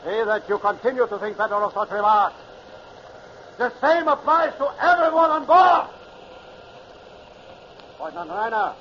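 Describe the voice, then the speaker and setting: An actor playing an arrogant, short-tempered German submarine captain in a radio drama, imperious and contemptuous. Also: male voice, sits high, animated, normal speed, pauses often. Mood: stern, curt. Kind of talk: 1950s radio drama acting. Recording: noisy, muffled vintage radio recording.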